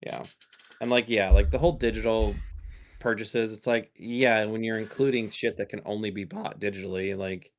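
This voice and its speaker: A man's voice: fairly low pitch, steady tone, moderate pace. A man co-hosting a casual gaming podcast, laid-back, piling up fillers and swearing now and then. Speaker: podcast co-host. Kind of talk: casual gaming news chat.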